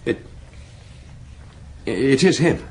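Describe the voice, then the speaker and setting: An actor playing a young, earnest junior police detective in a radio drama, nervous, hesitant and deferential. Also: male voice, medium pitch, big pitch swings, drawn-out words, darkish tone, breathy edge, heavily acted. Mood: shaken, stammering. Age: young adult. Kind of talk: naturalistic radio drama acting.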